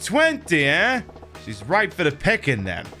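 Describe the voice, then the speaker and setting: A man acts out a gruff street tough from a video game. He is blunt, slangy and offhand, with lively intonation and faint music behind. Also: on the high side, a little slow, voice pushed up, exaggerated voice. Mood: blunt, curious. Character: gruff, nosy street tough checking on a stranger.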